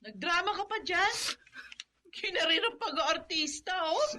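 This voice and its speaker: A woman's voice: extremely high pitch, sing-song, measured pace, pushed and bright, slight background noise, heavily acted. A woman with a Filipino accent playing a mean older sister in a radio drama, taunting and sneering. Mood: mocking.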